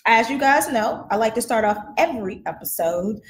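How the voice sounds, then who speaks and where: A woman's voice: a little high, expressive, moderate pace, a little hiss or hum. A woman in her thirties hosting a podcast, chatting enthusiastically and affirming her guest, at a desk mic in an office room. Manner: enthusiastic, affirming with frequent mm-hmms. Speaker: podcast host. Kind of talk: casual interview chat. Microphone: desk microphone. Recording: echoey room, faint music.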